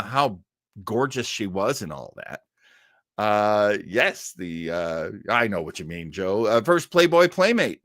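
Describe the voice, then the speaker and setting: A man's voice: mid-range voice, a bit bright, dry recording. Chatty, rambling man with a dry, close sound. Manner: chatty, rambling, effusive with emphatic repeats. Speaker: host of a web show on old time radio. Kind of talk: casual livestream chat, answering audience comments.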